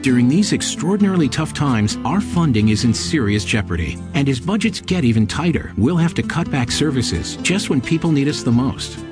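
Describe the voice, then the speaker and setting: A man's voice: medium pitch, animated, normal speed, few pauses. Male voice-over, earnest ad read, music underneath. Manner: earnest, appealing. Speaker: announcer. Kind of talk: scripted radio ad.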